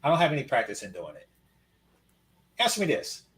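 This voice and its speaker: A man's voice: medium pitch, huge pitch swings, fairly quick, fairly bright tone. Wry storytelling man. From Oakland, California. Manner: storytelling, wry and matter-of-fact. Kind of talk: casual chat.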